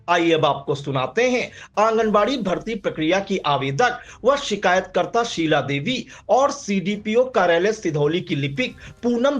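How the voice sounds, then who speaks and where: A man reading a scripted news report. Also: on the high side. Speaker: news narrator.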